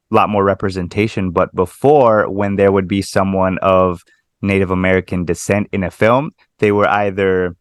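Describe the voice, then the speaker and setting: A man hosting a casual podcast interview, relaxed and agreeable, using filler words as he talks. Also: deep voice, no echo, studio quality. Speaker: podcast host.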